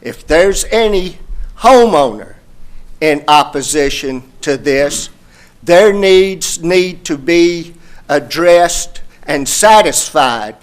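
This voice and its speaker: A man making a deliberate, emphatic public comment at a podium microphone in a county meeting room. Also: on the high side, animated.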